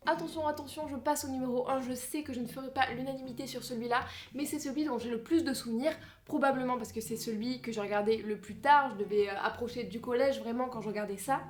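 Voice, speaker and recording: Une femme à l'français qui parle face caméra comme une vlogueuse, de façon exclamative, joueuse et théâtrale. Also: female voice, a little high, fairly even intonation, fast, few pauses. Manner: exclamatory, playful, theatrical emphasis. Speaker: vlogger presenting a countdown. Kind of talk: animated casual chat to camera.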